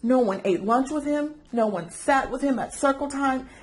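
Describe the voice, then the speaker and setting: A woman storyteller reading aloud for children, animated and engaging listeners with questions. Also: sits high, normal speed. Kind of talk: expressive children's read-aloud narration.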